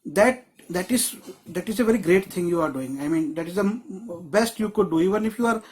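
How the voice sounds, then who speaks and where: A man's voice: high-pitched, lively intonation, a little fast, darkish tone, slight background noise. A man teaching a screenwriting class on a video call, explaining in a loose, rambling way with many restarts. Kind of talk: live online class.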